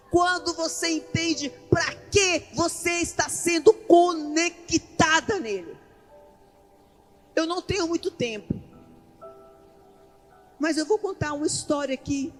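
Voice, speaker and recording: Very high-pitched Brazilian woman preaching in a hall. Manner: emphatic, with rhetorical questions and repetition; colloquial, ungrammatical everyday speech. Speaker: pastor preaching at a women's church conference. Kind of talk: impassioned sermon. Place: large indoor church event hall. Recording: echoey large hall PA, faint music.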